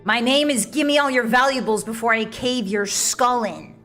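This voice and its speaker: A woman voicing a threatening young wolf-girl bandit in a visual novel, speaking bluntly and flatly. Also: a little high, moderate pace, soft background music. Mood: menacing.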